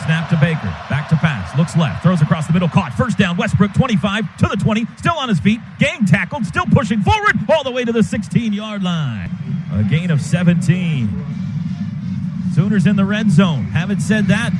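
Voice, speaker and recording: High-voiced man calling football on radio. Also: big pitch swings, music in the background. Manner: rapid clipped calls that rise to shouts on big plays. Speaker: radio play-by-play announcer. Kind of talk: live radio football play-by-play. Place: stadium radio booth. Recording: radio broadcast with loud crowd noise.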